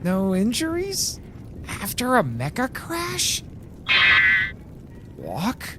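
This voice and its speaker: A sardonic crash survivor acted by a man tells his story in an audio drama. The voice is fairly high and swings noticeably in pitch, with wry, deliberate phrasing. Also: huge pitch swings, big-room sound, heavy background noise, full character voice. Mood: earnest, bewildered. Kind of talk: first-person audio drama narration and dialogue.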